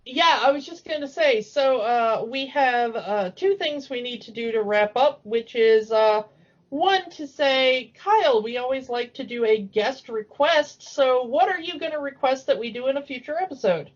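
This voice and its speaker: A woman's voice: sits high, lively intonation, normal speed. A woman co-hosting a podcast in a casual, chatty discussion. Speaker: podcast co-host. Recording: dull lo-fi audio.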